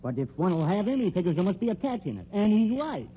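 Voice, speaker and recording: A man's voice: high-pitched, very animated, fast talker, dark tone. An actor playing a slick, menacing American private detective and con man in an old radio crime drama, wisecracking and pushy in tough-guy slang. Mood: pushy, scheming. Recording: dull old radio recording, faint noise.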